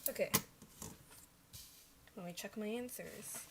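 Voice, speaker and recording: A woman's voice: mid-range voice, takes it slowly, creaky, heavy background noise. A young woman whose first language is Chinese, talking to herself as she checks her study answers on a casual livestream into a desk microphone, often correcting herself.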